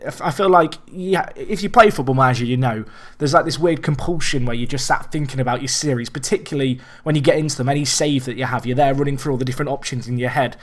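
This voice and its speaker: A young man with a faintly raspy, hoarse voice talks through a sports management game in a loose, rambling way. He speaks at an ordinary middle pitch, restarts his sentences often, and lifts in energy whenever a chance comes along. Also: fast talker. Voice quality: slightly raspy. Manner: chatty, rambling with restarts, rising excitement at chances. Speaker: let's play host. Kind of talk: casual gameplay commentary.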